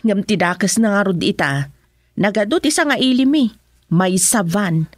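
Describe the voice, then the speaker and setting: A woman's voice: medium pitch, animated, moderate pace, no echo, clean studio sound, close to an everyday voice. A woman with an Ilocano accent playing a worried, sceptical village wife who scolds and admonishes in a radio comedy-drama. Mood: complaining, worried.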